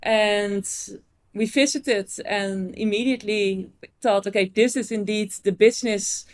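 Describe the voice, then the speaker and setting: A woman's voice: mid-range voice, fairly even intonation, measured pace. A Dutch-born woman chatting as a podcast guest, often restarting her sentences and laughing easily. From Netherlands. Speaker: podcast guest, agency owner. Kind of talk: casual interview chat.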